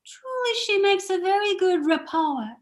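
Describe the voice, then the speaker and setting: A woman's voice: extremely high pitch, lively intonation, unhurried. A woman playing a chatty, foolishly earnest country peasant man in a comic turn of a Shakespearean radio play, rambling and droll.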